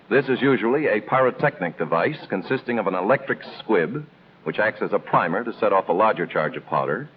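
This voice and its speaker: An American man reading scripted instructional narration in the style of a 1950s military training film, explaining in a measured, didactic way with clear emphasis. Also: lowish voice. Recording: tinny band-limited old film soundtrack, noisy.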